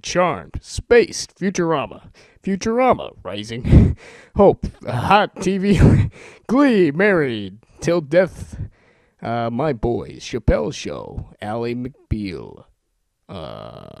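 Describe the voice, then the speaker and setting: An amateur male voice talent with a mellow tone and animated pitch. He sounds informal and amused with himself, as if fooling around on a homemade demo. The recording is close and dry. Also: vocal fry, dry recording. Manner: joking, self-amused, informal. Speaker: amateur making a voice-over demo. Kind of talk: casual chat and rapid-fire list reading.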